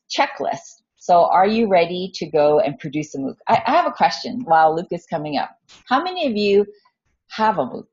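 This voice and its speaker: A woman moderating a conference panel, speaking informally and a little haltingly as she polls the audience and hands over to the next speaker. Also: on the low side, darkish tone, breathy edge. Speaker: session moderator. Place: conference session room. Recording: dull lo-fi conference recording.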